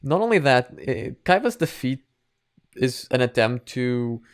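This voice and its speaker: A man giving casual reaction commentary and opinions in a halting, repetitive way, often qualifying what he says. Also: medium pitch, big pitch swings, no room sound, studio quality. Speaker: reactor and reviewer.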